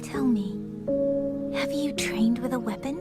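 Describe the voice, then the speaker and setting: A woman playing a calm, earnest young soldier in a video game, direct and composed. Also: fairly high pitch, measured pace, over loud music, lightly acted. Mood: firm. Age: young adult. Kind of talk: scripted game dialogue.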